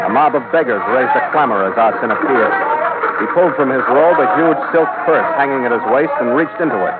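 A man's voice: mid-range voice, natural voice. Man as hardboiled sea captain, tinny old radio. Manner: hardboiled, sardonic, clipped. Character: tough, wry American sea captain adventurer hero. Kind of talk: 1940s-style radio acting and first-person narration. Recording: tinny vintage radio, loud music behind.

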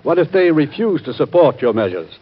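A cautioning adviser in old-time radio drama. His voice is husky and very animated, pressing with doubtful questions. The sound is dull and aged. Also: a little high, brisk. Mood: worried. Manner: urgent, questioning.

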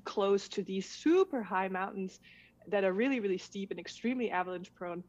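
A woman's voice: medium pitch, a little fast, darkish tone. A woman from Denmark, a documentary filmmaker, chatting casually as a guest and laughing often. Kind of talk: casual conversation. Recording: dull lo-fi sound.

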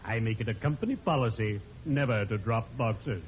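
An actor playing a morbidly genial undertaker in 1940s radio comedy, with a formal, ceremonious, droll manner. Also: male voice, medium pitch, moderate pace, natural voice. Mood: mock-solemn, reproving. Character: morbidly genial, pompous small-town undertaker. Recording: muffled, noisy old radio recording.

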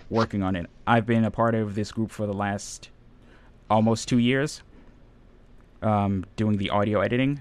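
A man who is a radio DJ and audio editor, chatting casually in a radio interview, relaxed and rambling with many fillers. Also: lowish voice.

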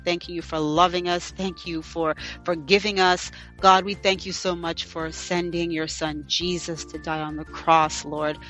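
A woman's voice: fairly low pitch, sharp tone. A young woman hosting a faith podcast. She prays fervently, then chats casually and tells personal stories with many fillers. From United States, partly raised in Jamaica. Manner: fervent, repeats thanks and "God" often; chatty, many "you know" fillers. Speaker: podcast host. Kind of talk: prayer and personal storytelling.

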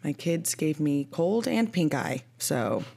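Low-voiced woman with a head cold. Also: sing-song, slow, creaky, mellow. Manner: chatty, laughs at her own complaints. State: congested from a cold, sniffly. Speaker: podcast co-host. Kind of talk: casual chat.

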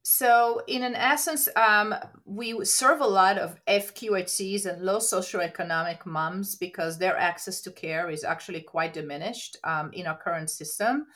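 A woman, a nurse practitioner answering interview questions eagerly, often pausing on um and uh. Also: medium pitch, fairly bright tone. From Israel. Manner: eager, fills pauses with um and uh.

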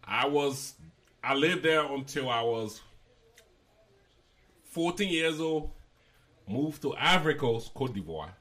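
A man's voice: mid-range voice, lively intonation, slow, long pauses, fairly bright tone. A man, a guest telling stories about growing up in a loose, joking way with laughs between them. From Liberia. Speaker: guest, host's father. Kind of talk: casual storytelling chat.

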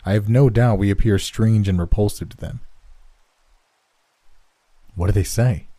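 A man voicing a polite, measured astronomer who asks careful questions. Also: lowish voice, dark tone, no echo. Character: calm, measured male astronomer.

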